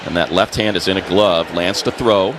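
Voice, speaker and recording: A man's voice: deep voice, normal speed. An American man calling live football play-by-play for the radio. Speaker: play-by-play announcer. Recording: noisy stadium booth broadcast. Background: stadium ambience.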